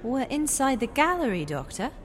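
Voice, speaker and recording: A woman as a wry, animated adventurer's sidekick. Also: high voice, normal speed, a little hiss or hum. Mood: wry, doubtful. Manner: wry, cautious, plain-spoken. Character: sceptical, down-to-earth female companion of an adventurer. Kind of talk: old-time radio adventure acting.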